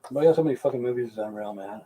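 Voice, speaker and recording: Mellow-voiced, foul-mouthed American man rambling. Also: mid-range voice, racing, slightly rough, recorded from a distance. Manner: profane, blunt, folksy. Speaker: live stream host. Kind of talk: casual rambling chat with viewers.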